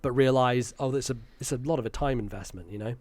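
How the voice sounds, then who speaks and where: A man's voice: medium pitch, fast talker, darkish tone, no echo. A British man, around thirty, chatting casually and enthusiastically as an interview guest, explaining things in a conversational way. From Britain. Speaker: podcast guest, content creator.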